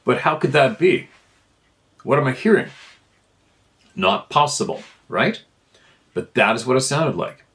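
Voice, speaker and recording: A man's voice: medium pitch, big pitch swings, unhurried, long pauses, fairly bright tone. A man reading a first-person account aloud in an informal storytelling style. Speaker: narrator reading listener emails.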